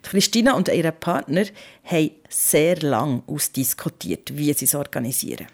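A woman's voice: deep voice, expressive, normal speed, a little mellow, husky. A woman with a Swiss German accent hosting a podcast in a conversational narrating style. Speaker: host.

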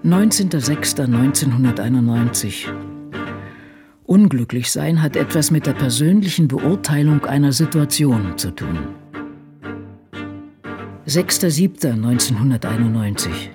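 A man's voice: medium pitch, expressive, unhurried, over music, lightly acted. A male actor playing an embittered, solitary seventy-year-old woman writer in a radio drama, reading out a diary in dry, clipped, muttered fragments. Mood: brooding.

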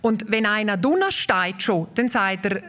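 A woman's voice: medium pitch, lively intonation, a bit bright. A woman with a Walser Swiss German accent from Obersaxen, a guest explaining her dialect in a radio conversation. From Obersaxen, Graubünden, Switzerland. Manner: explains patiently, reels off dialect words in quick lists. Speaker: guest, dialect association president.

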